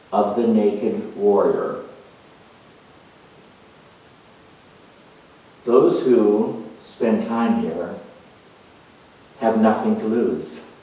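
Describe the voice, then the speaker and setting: A man playing an earnest, self-assured spiritual coach in a dramatized monologue, reflective and halting, with deliberate pauses. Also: on the low side, mellow. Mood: solemn, measured. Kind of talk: dramatized monologue as if answering an interview. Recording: distant mic in very echoey room.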